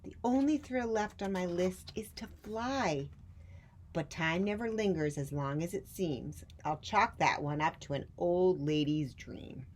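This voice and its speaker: A woman's voice: fairly low pitch, a little slow, a little mellow, slight background noise, lightly acted. A woman reading a spirited, encouraging elderly great-great-aunt in a children's storybook, with an emphatic way of talking. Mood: wistful. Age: older. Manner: exuberant, emphatic.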